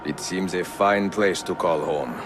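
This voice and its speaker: An actor playing an older, courteous and wry Italian master assassin in a video game, with an Italian accent and a measured, formal delivery. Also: male voice, low voice, over music. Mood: thoughtful. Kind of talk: video game cutscene acting.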